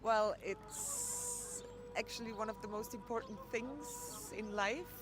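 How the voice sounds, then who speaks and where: Woman with a very lively voice. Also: on the high side, takes it slowly. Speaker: festival participant. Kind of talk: street interview. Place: outdoor festival square. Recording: loud music outdoors.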